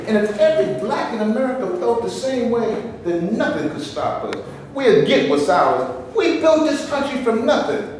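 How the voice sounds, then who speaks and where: Man doing a fed-up indignant man, high lively voice, heated, echoey stage recording. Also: normal speed. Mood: defiant. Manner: impassioned, indignant. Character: fed-up, impatient man demanding his share. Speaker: character in a staged reading. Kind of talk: stage play reading. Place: theater before a live audience. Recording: echoey room, faint background noise.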